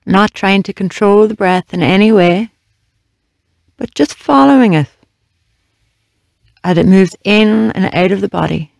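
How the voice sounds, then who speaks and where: A meditation guide leading a body scan in a slow, measured, gently instructing way with long pauses. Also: medium pitch, gravelly, no room sound.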